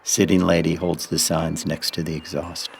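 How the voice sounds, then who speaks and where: A man narrating a poetic radio play in measured, sparse phrases. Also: a bass voice, flat delivery, gravelly, long reverb tail, a little hiss or hum.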